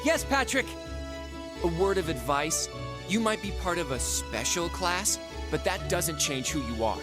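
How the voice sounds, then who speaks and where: High-pitched snobbish young lackey. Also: male voice, animated, unhurried, over music. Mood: condescending. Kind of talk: video game voice acting.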